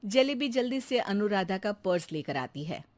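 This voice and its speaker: A woman's voice: medium pitch, big pitch swings, moderate pace, darkish tone. A woman reading scripted narration for a comic moral story.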